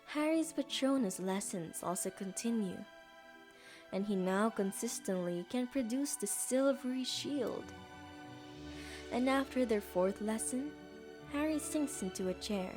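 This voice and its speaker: A woman's voice: on the high side, lively intonation, a little slow, music in the background. A woman reading scripted story narration as the narrator of an audio drama.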